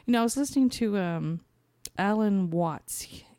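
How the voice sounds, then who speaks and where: A woman's voice: mid-range voice, animated, normal speed, dark tone, clean tone, dry recording, clean studio sound. A woman podcast host talking in a casual, rambling spiritual monologue, conversational and thinking aloud as she searches for her words.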